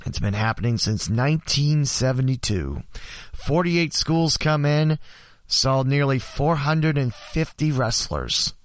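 A man with a hoarse, worn-out voice hosting a sports podcast in a casual, rambling, self-deprecating way. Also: medium pitch, lively intonation, unhurried, dry recording, clean studio sound. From Ohio, USA. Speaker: podcast host.

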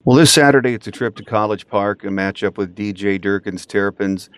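A man's voice: on the low side, a little fast, clear, resonant tone, dry recording. A man hosting a sports podcast, setting up topics and putting questions to his guest. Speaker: podcast host, interviewer.

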